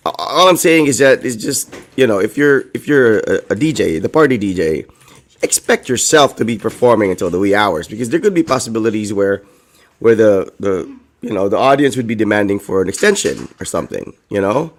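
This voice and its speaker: A man in his thirties, still a bit hoarse, co-hosting a casual talk show and rambling with lots of "like" and "you know". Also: on the low side, big pitch swings, brisk. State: hoarse, recovering from a lost voice. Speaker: co-host. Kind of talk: casual chat.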